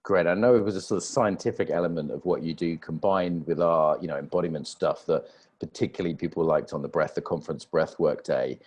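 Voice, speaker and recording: A man's voice: low voice, fairly quick, darkish tone. A man hosting a podcast, interviewing a guest in a casual, informal conversational way.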